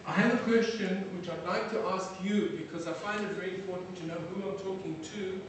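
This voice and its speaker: A middle-aged man from South Africa giving a lecture to an audience, halting often with fillers and restarts. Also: sits high, steady tone. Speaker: defense attaché giving a lecture. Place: lecture hall. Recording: very echoey hall, from far off, faint noise.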